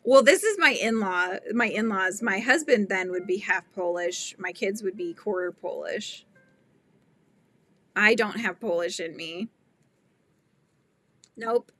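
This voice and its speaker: A woman's voice: mid-range voice, lively intonation, takes it slowly, long pauses, a bit bright, no room sound. A middle-aged woman hosting a casual crochet livestream, chatting and laughing as she reads viewer comments. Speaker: livestream host. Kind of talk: casual chat while crocheting.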